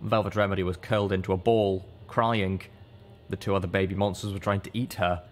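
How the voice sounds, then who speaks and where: A man reading first-person fiction as an audiobook narrator, with measured, dramatic storytelling. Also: low voice, little pitch movement.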